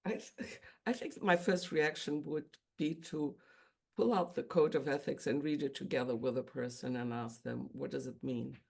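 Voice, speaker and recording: A woman's voice: very deep voice, moderate pace, creaky, a little mellow, slightly rough. A woman coaching supervisor reflecting aloud, stumbling and questioning her own approach.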